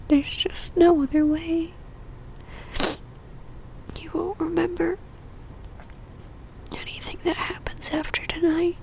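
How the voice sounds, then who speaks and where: A woman playing a possessive, lovesick female vampire in an ASMR roleplay, whispering breathily in a halting, pleading and tender way. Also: very high voice, creaky, a little mellow, exaggerated voice, low-quality recording.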